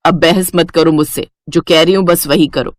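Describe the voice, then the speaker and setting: A woman's voice: deep voice, steady tone, normal speed, dry recording, close to an everyday voice. A woman voicing a proud, bossy middle-aged Indian mother-in-law with a stern, nagging manner in a family moral story. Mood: stern, scolding.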